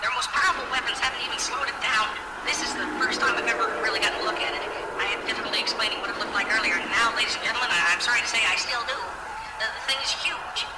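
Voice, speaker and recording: A woman playing a frightened on-scene news reporter in an audio drama, broadcasting with a halting, urgent, awestruck delivery. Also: a little high, natural voice. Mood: awed, shaken. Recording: distant echoey broadcast, loud vehicle-like noise.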